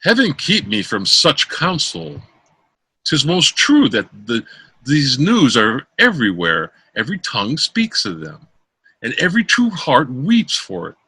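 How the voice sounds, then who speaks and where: A man reading Shakespeare verse drama aloud as an amateur, in a theatrical, declaiming manner with a husky voice. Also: mid-range voice, huge pitch swings, a little slow, sharp tone, dry recording, low-quality recording. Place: close dry room, online call.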